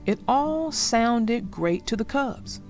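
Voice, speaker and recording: A woman narrating a children's storybook in an expressive, deliberate read-aloud style. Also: fairly high pitch. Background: music.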